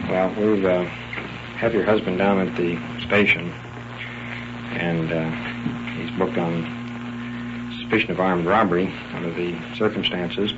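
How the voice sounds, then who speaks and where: Low-voiced American police sergeant, heavy noise. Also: male voice, fairly even intonation. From United States. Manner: matter-of-fact, polite, halting official phrasing. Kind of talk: unscripted on-duty conversation, recorded live. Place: out on a night patrol house call. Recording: muffled, very noisy 1950s radio field recording.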